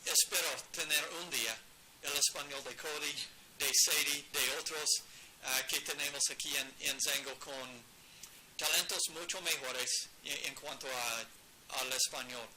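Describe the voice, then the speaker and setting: A man presenting slowly in a second language he admits is weak, with frequent restarts, standing in a studio set. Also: fairly high pitch, fairly even intonation, crowd noise, poor audio. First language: English. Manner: slow, halting, many restarts. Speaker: company founder presenting. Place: studio set with a photo wall.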